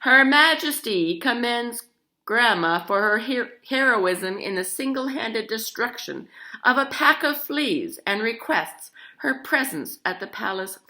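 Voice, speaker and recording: A woman's voice: fairly high pitch, bright, forceful tone, voice pushed up, heavily acted. A woman voicing a formal male town crier from a storybook, declaiming a royal proclamation pompously.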